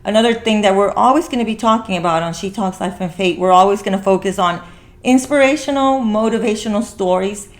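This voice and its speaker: A woman's voice: mid-range voice, fairly quick. A woman hosting a podcast in casual conversation, rambling as she repeats and rephrases herself. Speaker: podcast host.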